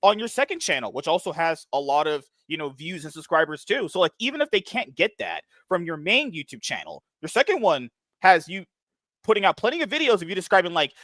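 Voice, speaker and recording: A man hosting a podcast, talking in a fast, excitable, profane and rambling way as he chats with a guest. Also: on the high side, no echo. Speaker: podcast host. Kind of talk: casual interview chat.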